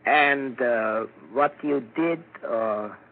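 An actor playing a composed, probing doctor in a 1940s radio drama, measured and authoritative. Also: male voice, medium pitch. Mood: probing, musing. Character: composed, probing male doctor treating mental cases. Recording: crackly, narrow-band 1940s radio broadcast.

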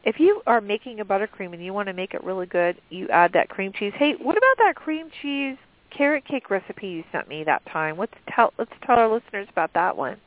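A woman's voice: medium pitch, big pitch swings, brisk, no room sound. A sniffly woman co-hosting a cooking show, chatting casually and rambling with lots of fillers. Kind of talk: casual chat. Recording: dry tinny phone line.

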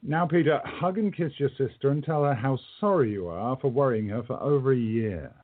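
An actor playing a commanding, wry older time traveler with an authoritative, scolding way in a radio play. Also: male voice, medium pitch, brisk, narrow, tinny audio, close to an everyday voice. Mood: stern.

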